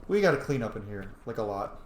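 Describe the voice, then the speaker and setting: A man's voice: lowish voice, big pitch swings, fast, roomy sound, a little hiss or hum. A man giving casual, informal commentary on a game he is playing, with explanatory asides and some swearing. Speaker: gamer commentating a playthrough.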